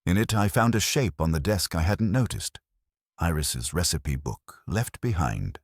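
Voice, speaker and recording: Very deep male story narrator, dry studio sound. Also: sing-song, measured pace, no room sound. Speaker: audiobook narrator. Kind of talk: scripted first-person detective story narration.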